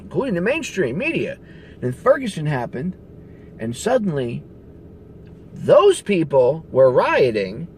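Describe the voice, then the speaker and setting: A man delivers an angry, profane political rant, leaning on sarcasm and blunt emphasis. His pitch leaps up and down as he presses each point. A faint hiss sits under the recording. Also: a little mellow. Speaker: political commentary host. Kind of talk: ranting commentary.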